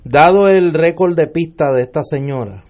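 A male political commentator with a Puerto Rican accent speaks on a radio panel. His voice sits a little high for a man, and his delivery is sardonic. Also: moderate pace, darkish tone.

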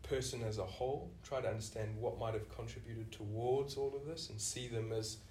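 A man's voice: medium pitch, steady tone, brisk, a little mellow, a little hiss or hum. South African man, echoey distant sound. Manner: thoughtful, often restarts with "you know". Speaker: senior clinician, podcast guest. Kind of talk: reflective interview answers. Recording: echoey room, voice off-mic.

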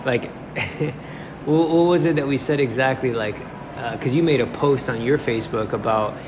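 A young male podcast host of middling pitch who talks in an eager, chatty way and keeps the tone casual. Clear background noise runs under his voice throughout. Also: moderate pace. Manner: eager, chatty, addresses guest as bro and man. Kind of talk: casual interview chat.

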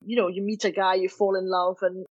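A woman's voice: medium pitch, flat delivery, fairly quick, breathy edge, no room sound. Earnest reflective woman from Trinidad. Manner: reflective and earnest, many "you know" and "kind of" fillers. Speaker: guest. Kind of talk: candid personal storytelling. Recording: slightly dull, dry recording.

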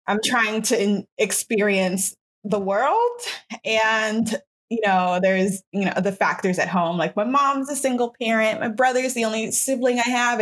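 A woman in her twenties, a podcast host, telling a personal story in a reflective, casual way with many fillers and restarts. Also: mid-range voice. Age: 20s.

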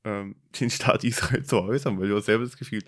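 A man's voice: lowish voice, fast talker, fairly bright tone. A young man from Germany in his early twenties, co-hosting a podcast and talking things through in a reflective, hesitant way with many fillers. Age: about 20. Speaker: podcast co-host. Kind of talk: casual discussion.

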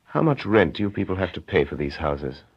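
A calm crusading hero. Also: male voice, deep voice, fairly quick, slightly rough, dry recording, exaggerated voice. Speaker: detective hero. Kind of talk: 1930s radio drama acting. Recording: dull old radio recording with faint hiss.

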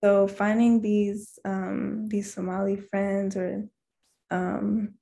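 A soft-spoken young woman, a college student, answering interview questions hesitantly with many pauses and fillers. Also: mid-range voice, little pitch movement, vocal fry. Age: young adult. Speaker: guest, engineering student.

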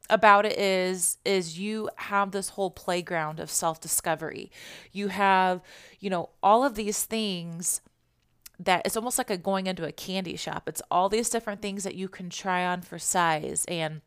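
A woman hosting her own podcast, a little congested and hoarse from allergies, talking in a gentle, reflective way and often repeating herself as she asks questions aloud. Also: medium pitch, measured pace. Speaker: podcast host. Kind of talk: casual spiritual podcast monologue.

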